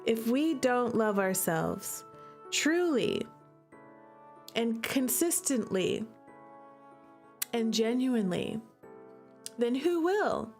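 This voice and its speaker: A woman's voice: a little high, very animated, drawn-out words, long pauses, a little mellow, faint music behind. A woman commenting on a livestream to her viewers, gushing and emphatic, repeating phrases for effect. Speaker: streamer playing a visual novel.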